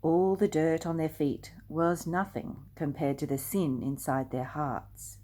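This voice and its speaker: An older woman reading a picture book aloud expressively and deliberately, speaking directly to young listeners. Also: low-pitched, steady tone, mellow. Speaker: storyteller.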